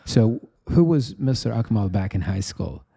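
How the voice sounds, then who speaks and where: A man's voice: lowish voice, expressive, normal speed, vocal fry, mellow, breathy edge. Young male podcast host, enthusiastic. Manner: enthusiastic, encouraging. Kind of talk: interview. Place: small podcast studio. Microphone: boom mic close.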